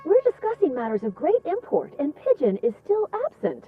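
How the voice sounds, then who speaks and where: High, sardonic sect elder. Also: female voice, wildly swooping pitch, moderate pace, recorded from a distance, phone-quality sound, faint music behind. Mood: mocking. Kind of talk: dramatic video game acting.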